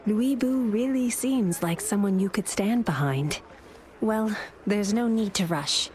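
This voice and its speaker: A woman's voice: medium pitch, unhurried, darkish tone, slight background noise, close to an everyday voice. A woman playing a thoughtful, idealistic adviser and companion to a hero in a video game, speaking in a reflective, composed way. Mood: thoughtful, wry. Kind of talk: dramatic game narration and dialogue.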